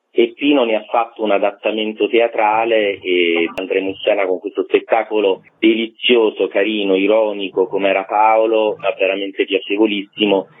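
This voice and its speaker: Low-voiced man on a tinny phone line. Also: no echo. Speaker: festival general director, interview guest. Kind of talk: informative radio interview answers. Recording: narrow tinny telephone line.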